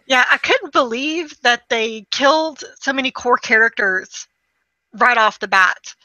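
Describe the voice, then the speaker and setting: A woman's voice: on the high side, lively intonation, a little slow, bright, forceful tone, no echo, low-quality recording. A woman in casual, informal conversation, telling stories expressively and quoting reactions as she goes. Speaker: podcast co-host reviewing movies. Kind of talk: casual chat, animated anecdote-telling.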